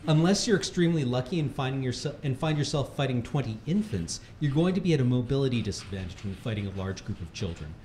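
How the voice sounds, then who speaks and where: A man reads a comic how-to piece aloud with mock-serious authority. His voice sits at an average male pitch. The room is echoey, with faint noise behind him. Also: lively intonation, fast, few pauses, a little mellow. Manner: mock-serious instructional delivery; often breaking into laughter. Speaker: reader at a charity livestream. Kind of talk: comedic reading of a humor article aloud.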